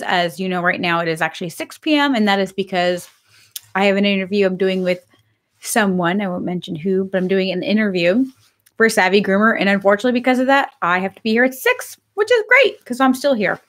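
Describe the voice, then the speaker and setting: A woman in her thirties, slightly hoarse, talking to a webcam in her home office. She is chatty and upbeat, as if talking to viewers in a live stream. Her pitch sits in the middle range. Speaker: pet grooming business host. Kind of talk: casual live-stream talk. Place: home office with bookshelves, indoors.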